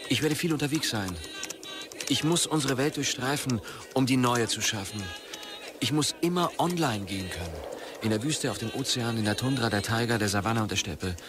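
An actor playing a grandiose, dreamy visionary creator at a start-up in an audio drama. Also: male voice, medium pitch, moderate pace, close to an everyday voice. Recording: music behind the voice.

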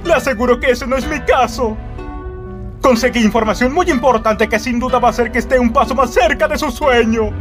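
A man's voice: extremely high pitch, fairly quick, music in the background. A man playing an obsequious, eager demon in a Latin American Spanish anime fan dub, theatrical and fawning.

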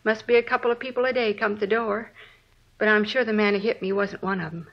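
A woman's voice: medium pitch, fairly even intonation, quick pace, creaky, natural voice. A middle-aged American housewife acted by a woman in an old radio police drama. Her mid-range voice is plain-spoken and aggrieved, with short phrases, on a slightly muffled vintage recording. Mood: earnest. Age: 40s. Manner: plain-spoken, dropping sentence openings. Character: shaken, indignant forty-two-year-old housewife, crime victim. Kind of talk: 1950s radio drama acting.

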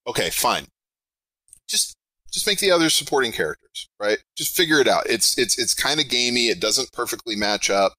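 A middle-aged man with a big projecting voice, talking in a rambling, animated way as a podcast interview guest. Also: mid-range voice, moderate pace, dry recording, low-quality recording. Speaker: game designer guest.

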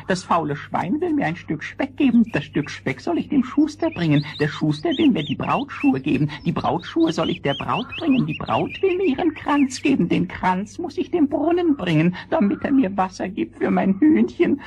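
Animated, pleading little rooster. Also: female voice, mid-range voice, vocal fry, highs cut off. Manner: imploring, sing-song recitation. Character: anxious, pleading young rooster. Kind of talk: children's audio fairy-tale acting. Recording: old record with noise, clicks.

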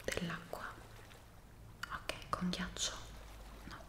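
Slow whispering young woman, ASMR. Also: echoey room. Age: 20s. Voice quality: breathy unvoiced whisper. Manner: soft, soothing, unhurried. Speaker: ASMR creator. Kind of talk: ASMR caring roleplay.

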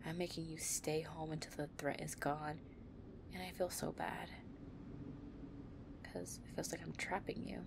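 A woman playing a possessive young gang-leader girlfriend, tough but tender, in an intimate, soft-spoken audio roleplay. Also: deep voice, little pitch movement, measured pace, vocal fry, long pauses, background noise. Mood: apologetic, protective.

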